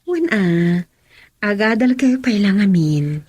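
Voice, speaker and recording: A student's mother in an Ilocano radio drama. Her voice sits at a middle pitch, and she comes across as fond and practical. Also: animated, slow pace, a little mellow, breathy edge. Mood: gently cautioning.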